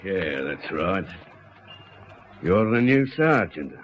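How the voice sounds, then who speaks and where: An actor playing a cunning vagrant who feigns madness in a radio drama, with a slow drawl given to cackling. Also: male voice, low voice, sing-song, slight background noise, heavily acted. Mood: sly, guarded. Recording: dull lo-fi radio recording.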